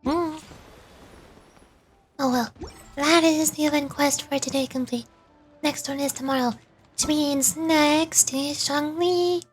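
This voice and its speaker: A woman's voice: high-pitched, fairly even intonation, slow pace, pauses often, faint music behind. A woman streaming a video game, giving casual commentary and thinking aloud in short bursts.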